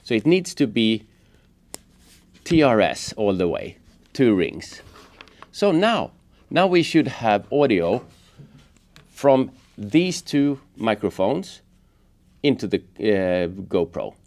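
A middle-aged man giving a casual hands-on tutorial, explaining step by step in a halting way. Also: lowish voice, big pitch swings, a little mellow. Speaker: host of a how-to motorcycle gear channel. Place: indoors, office workshop room at a table.

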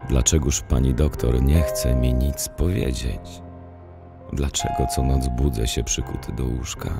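A man plays an anguished psychiatric patient pleading with his absent mother in an audio play. His voice is extremely deep and gravelly. He recites his verse slowly and broodingly. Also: steady tone, loud music behind the voice, exaggerated voice. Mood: haunted pleading.

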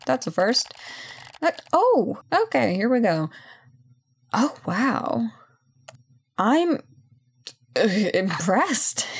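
A woman playing a brisk, playful company boss in an audio roleplay job interview, chatty and full of wry asides. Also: fairly low pitch, a little mellow, heavily acted. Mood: distracted, impressed. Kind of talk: ASMR roleplay, one-sided conversation.

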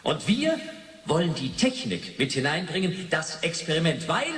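An actor playing a jovial male TV quiz show host in a radio play, with halting showman patter. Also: on the high side, very animated, a bit bright. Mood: jovial, eager. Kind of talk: 1980s German radio play acting. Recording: echoey hall, distant, faint noise.

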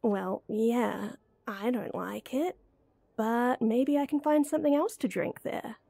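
A woman acting as a young female best friend in a roleplay audio, with a high, mellow voice. She is playful, teasing and coaxing, and takes her time very slowly in a close, dry recording. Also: creaky, no echo, exaggerated voice. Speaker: voice actor. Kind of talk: acted roleplay audio addressed to the listener.

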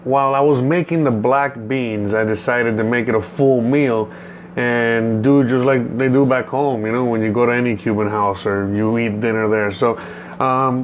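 Guy in his 30s from cuba doing a cooking vlog, relaxed rambly talk, midrange voice, noisy kitchen. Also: medium pitch. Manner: easygoing, rambling. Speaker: home cooking vlogger. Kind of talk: casual cooking vlog chat. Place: home kitchen, indoors. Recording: noticeable background noise in a kitchen.

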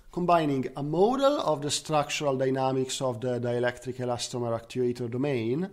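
A man presenting scientific research as a conference speaker, in the style of a technical slide talk. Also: a little high. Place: recorded online slide talk.

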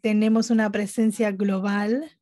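A woman giving live interpretation at a webinar, speaking haltingly phrase by phrase. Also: medium pitch, level tone, dark tone, no echo. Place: remote online conference audio channel.

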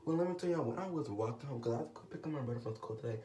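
A man's voice: medium pitch, expressive, very fast, warm tone, recorded from a distance, a little hiss or hum. A teenage boy vlogging at home, talking casually to the camera in a rambling way, with a stutter that brings restarts and lots of fillers. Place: small room indoors, at home. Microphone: camera set low, below face. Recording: roomy echo, voice off-mic.

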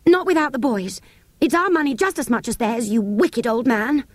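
A woman's voice: fairly high pitch, expressive, normal speed, no room sound, pitched above the performer's own voice. A woman voicing a brave, truthful little girl in a storybook, speaking earnestly and defiantly.

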